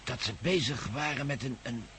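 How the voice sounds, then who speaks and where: An actor playing a wounded, frightened man in a radio drama, weak and in pain, speaking in halting, broken phrases. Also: pushed and bright, close to an everyday voice. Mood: shaken, halting. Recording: noisy radio play, vehicle rumble.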